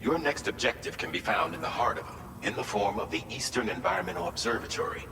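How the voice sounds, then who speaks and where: An actor playing a composed mission handler in a video game, giving measured, formal briefings. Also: male voice, on the low side, expressive, background noise, close to an everyday voice. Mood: composed, instructive. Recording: echoing, long reverb, music behind.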